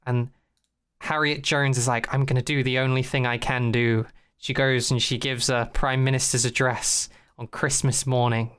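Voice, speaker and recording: A man's voice: mid-range voice, flat delivery, moderate pace, fairly bright tone, smooth. A man hosting a podcast, chatting in a casual, rambling comic recap style with an irreverent, sweary manner. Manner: irreverent, swearing, acts out quotes in put-on voices. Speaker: podcast host.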